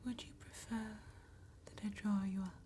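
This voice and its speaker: A woman playing an elegant, courteous vampire tattoo artist in a soft-spoken ASMR roleplay, with breathy, genteel, deliberate phrasing. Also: medium pitch, steady tone, a little hiss or hum, natural voice. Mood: calm, assured. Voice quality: breathy, whispered.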